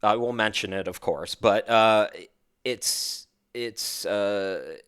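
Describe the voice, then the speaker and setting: Clear-voiced man, chatty podcast host. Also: fairly low pitch, slow, fairly bright tone. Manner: self-deprecating, rambling. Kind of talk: casual chatty interview.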